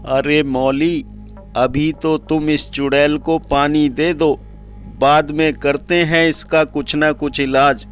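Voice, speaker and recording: A man's voice: a little high, little pitch movement, takes it slowly, soft background music. A man voicing a weary, complaining village farmer in a cartoon story, plaintive and burdened. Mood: resigned. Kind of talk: Hindi cartoon story dialogue.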